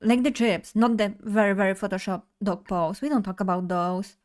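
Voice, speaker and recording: A woman's voice: mid-range voice, fairly even intonation, normal speed, darkish tone. Bubbly young woman streamer. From Poland. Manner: bubbly, chatty, addresses chat directly. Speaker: vtuber streamer. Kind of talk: casual live stream chat.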